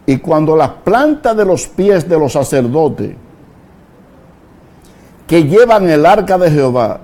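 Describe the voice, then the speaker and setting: A man preaching a fiery sermon, slow and deliberate, breaking into sudden loud shouted peaks. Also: on the high side, sing-song.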